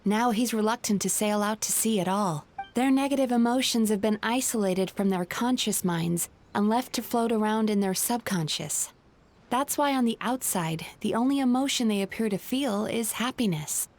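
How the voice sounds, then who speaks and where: A woman playing a composed, perceptive young female dream healer in a video game, speaking in a measured, polite way. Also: fairly high pitch, darkish tone, lightly acted. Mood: calm, explaining.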